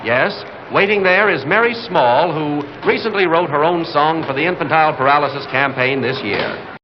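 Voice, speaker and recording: A man announces in a smooth, formal 1940s radio manner, pitched fairly high. The dull old recording carries clear noise. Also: moderate pace.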